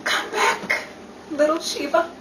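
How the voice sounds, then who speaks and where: Actress as high-voiced, glamour-loving 1950s gal. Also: female voice, steady tone, slow pace. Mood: theatrical, playful. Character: glamour-loving, playful bride-to-be Betty, 1950s Hollywood gal. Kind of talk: old-time radio comedy acting. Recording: roomy, distant, noisy with crowd behind.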